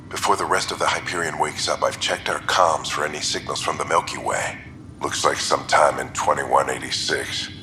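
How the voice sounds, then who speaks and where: An actor playing a grave, weary military explorer recording a log, in a gravelly voice with clipped delivery. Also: male voice, rumbling low, bright, forceful tone, slight background noise, exaggerated voice.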